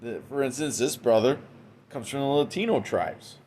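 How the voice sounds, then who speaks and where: A man's voice: medium pitch, moderate pace, darkish tone, slight background noise. Man preaching, ending lines with okay?. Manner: tags almost every sentence with "okay?" and "man"; frequent restarts and repeated words. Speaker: religious commentator. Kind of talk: informal preaching monologue. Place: indoors, Western New York.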